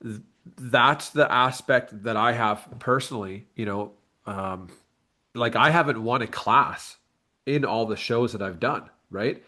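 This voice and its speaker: A man's voice: mid-range voice, a little slow, pushed and bright. A man, talking candidly and reflectively in a casual interview as a bodybuilder and coach. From Canada. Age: late 30s.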